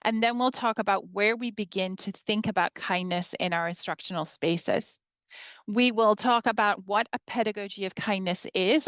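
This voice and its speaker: Smooth-voiced woman presenting to teachers. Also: medium pitch, no echo. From northern England. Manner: warm, measured presenting, reading slides aloud. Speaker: history professor giving a teaching workshop. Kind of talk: presentation to educators.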